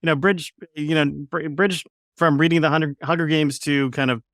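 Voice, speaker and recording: A man in his fifties from Florida hosting an interview podcast over a video call, friendly, with puns and halting restarts. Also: fairly high pitch, steady tone, fairly bright tone, no echo, studio quality. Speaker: podcast host. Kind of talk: casual interview. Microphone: headset on video call.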